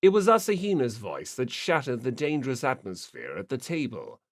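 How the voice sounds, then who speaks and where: A man narrates a scripted story for an audiobook. His pitch is middling and the sound is dry, with no room around it. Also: big pitch swings, moderate pace, no room sound.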